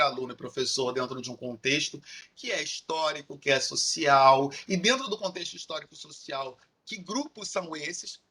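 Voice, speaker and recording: Carioca man lecturing, bright husky voice. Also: a little high. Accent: Carioca Portuguese. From Rio de Janeiro, Brazil. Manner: explanatory, repetitive rhetorical lists with frequent "né?". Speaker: university lecturer. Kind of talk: recorded online lecture.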